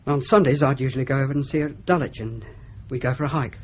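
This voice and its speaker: A man's voice: mid-range voice, quick pace, a little hiss or hum, close to an everyday voice. An actor playing a hesitant, nervous shop assistant who stumbles over his words. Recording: dull lo-fi audio with faint ambience.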